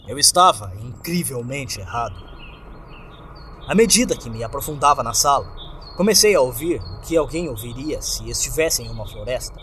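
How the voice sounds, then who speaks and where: A man's voice: fairly high pitch, fairly bright tone, slight background noise. A man with a Brazilian accent narrating a scripted first-person horror story in a dramatic, suspenseful way. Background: background music.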